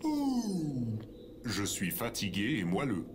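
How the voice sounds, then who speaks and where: A man's voice: mid-range voice, wildly swooping pitch, slow pace, voice pushed down. A man voicing a sleepy, simple-minded fluffy pet cat in comedic audio fiction, with childlike phrasing. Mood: sleepy, innocent. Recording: noticeable background noise.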